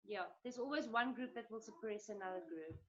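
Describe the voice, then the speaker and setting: A woman's voice: on the high side, fairly quick, fairly bright tone. Woman interviewer on a dull call line. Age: 30s. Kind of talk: casual conversation. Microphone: video call.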